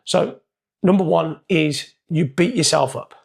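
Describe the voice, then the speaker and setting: Coach guy with a croaky hoarse voice, raspy and gravelly, chatty motivational talk. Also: fairly high pitch, measured pace. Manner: casual, chatty, loosely structured. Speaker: performance coach.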